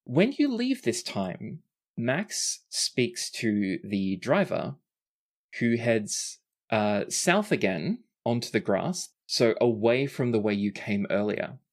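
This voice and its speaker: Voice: mid-range voice, sing-song, slow. A game master narrating a tabletop role-playing game in a casual, sweary way.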